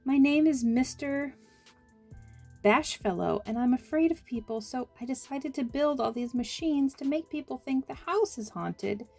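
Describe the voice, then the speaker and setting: Woman doing a shy squeaky little man, stammers a lot, high and timid, storybook voice. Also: measured pace, gravelly, darkish tone, faint music behind, exaggerated voice. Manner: stammering, hesitant.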